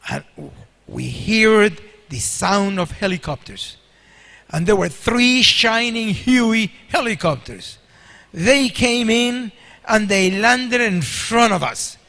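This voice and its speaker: High bright-voiced older preacher in reverberant hall. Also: male voice, gravelly. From Spanish-speaking country. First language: Spanish. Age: 60s. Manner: storytelling, asks the congregation questions. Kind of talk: sermon. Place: large church hall.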